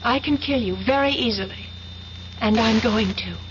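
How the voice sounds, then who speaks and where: An actress playing a vengeful, unhinged young woman in a 1970s radio drama, slow and taunting in her menace. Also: fairly high pitch, natural voice. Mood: quiet menace. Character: vengeful, unhinged young woman, eerily calm then frantic. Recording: dull, noisy 1970s radio recording.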